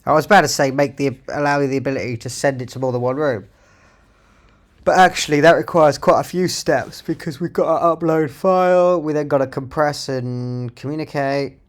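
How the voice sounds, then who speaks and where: A man's voice: on the high side, normal speed. A man narrating his own coding work as a developer, thinking aloud in an informal, half-muttered way. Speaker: software developer presenting own project.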